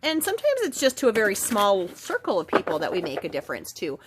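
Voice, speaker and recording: A woman reads oracle cards in a relaxed, chatty way, full of ums and small restarts. She is stuffed up from a cold, so her voice comes out a little nasal. Her pitch sits in the middle. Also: fast talker, heavy background noise. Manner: chatty, gentle, with frequent ums and self-corrections. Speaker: oracle card reader. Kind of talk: casual spiritual card reading.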